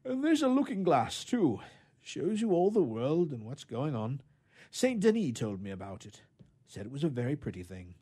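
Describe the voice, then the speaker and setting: A sleepy old champion knight from a storybook, acted out by a man. He rambles vaguely and apologetically, drowsy yet swinging widely in pitch. The voice has a husky edge. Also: wildly swooping pitch, moderate pace, mellow, exaggerated voice.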